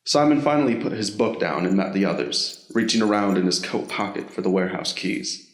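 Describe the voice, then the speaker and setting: Low-voiced male narrator with roomy echo. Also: expressive, brisk. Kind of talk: scripted story narration.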